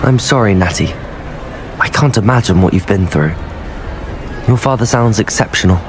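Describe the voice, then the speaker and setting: A man's voice: fairly low pitch, animated, normal speed, lightly acted. A man playing a loyal, reassuring teenage wizard hero in video game dialogue, with music behind him. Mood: sympathetic. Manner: polite, sincere, reassuring. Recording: music under the voice.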